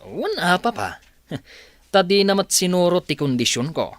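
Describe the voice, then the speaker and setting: A man's voice: high voice, sing-song, moderate pace, no room sound. A man acting a defensive, evasive young rich son in an Ilocano radio drama, hesitant and protesting. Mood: indignant.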